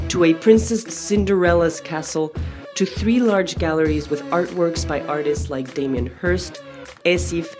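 Woman host doing slangy scripted news bits, lowish voice, music under it. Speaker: host of a street art news show. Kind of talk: scripted upbeat presenting with casual slang. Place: city street, outdoors. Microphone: lapel mic.

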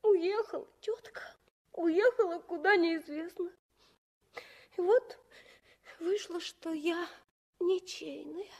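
A woman in a 1960s radio play, playing an orphaned little girl with a small, halting, childlike voice, plain-spoken and trusting. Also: very high-pitched, full character voice. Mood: tearful, plaintive.

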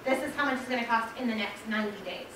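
An American woman speaking informally and haltingly in a meeting discussion, often saying "like". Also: a little high, crowd noise. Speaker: meeting participant. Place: indoor public board meeting room. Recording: very echoey room, distant, noisy.

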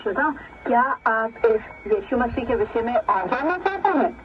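A woman's voice: on the high side, animated, normal speed, a little hiss or hum. Woman presenter on dull shortwave radio. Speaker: religious radio program host.

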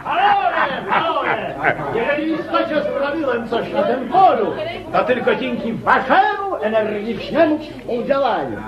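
An actor playing a declamatory, grandiloquent gentleman in a theatrical radio play. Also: very high voice, very animated, normal speed. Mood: ceremonious. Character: grandiloquent gentleman giving a toast at a ball. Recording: roomy, muffled, loud crowd.

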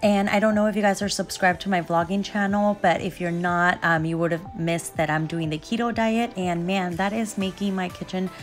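A woman's voice: on the low side, fairly even intonation, normal speed, few pauses, music in the background. A young woman with a stuffy, sniffly cold, chatting upbeat to viewers while cleaning her home kitchen. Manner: upbeat, chatty, laughs between phrases. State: stuffy and sniffly with a sore throat. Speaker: cleaning vlogger, mother.

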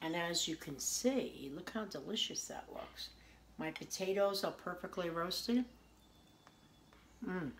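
A woman's voice: fairly low pitch, lively intonation, takes it slowly, pauses often, a little hiss or hum. A woman in her forties talks through a recipe in her own kitchen, chatty and homey, recorded on a counter camera. Faint clatter of dishes and utensils sits behind her voice. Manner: chatty, homey, tasting and praising the food as she goes. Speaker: home cooking show host. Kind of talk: casual cooking demonstration. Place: home kitchen, indoors. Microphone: camera on the counter at arm's length.

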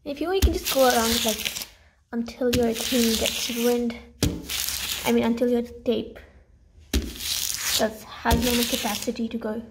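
A young woman vlogging a cleaning tutorial to a camera held at arm's length, chatty and instructive, with quiet asides while she works. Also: a little high, steady tone, a little slow, long pauses, reverberant, very noisy. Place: small carpeted room indoors.

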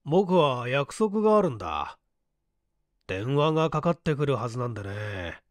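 Easygoing young gentleman, voiced by a male reader, breezy dialogue, mid pitch, lively intonation, dry recording. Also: moderate pace, dry recording, close to an everyday voice. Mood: breezy, casual. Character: casual, easygoing young gentleman in a period mystery. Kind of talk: acted audiobook dialogue.

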